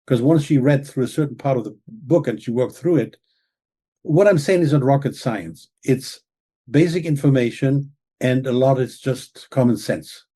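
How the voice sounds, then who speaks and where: A man's voice: mid-range voice, moderate pace, dark tone, breathy edge. Earnest man lecturing. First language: German. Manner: earnest, lecturing, with frequent "okay?" and "right?" check-ins. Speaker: author and guest expert. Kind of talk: explaining in an interview.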